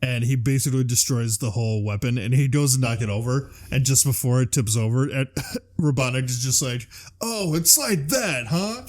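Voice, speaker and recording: A male podcast co-host with a mid-pitched voice chats casually and informally. He talks with the animated enthusiasm of a devoted fan and swears freely. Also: fairly quick. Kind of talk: casual chat about video game movies.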